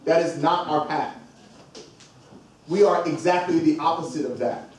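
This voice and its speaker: A man's voice: on the high side, moderate pace. A man moderates a panel in a large hall, and his voice arrives from far off with heavy echo. He talks in an earnest, emphatic way and keeps nudging the speakers along. Faint room noise sits under him. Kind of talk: live panel discussion. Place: event hall with audience. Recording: echoey hall from far off, noisy.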